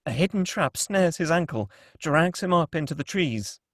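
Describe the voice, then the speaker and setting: A man's voice: a little high, animated, normal speed, dry recording, studio quality. A man reading screenplay stage directions as narrator at a table read.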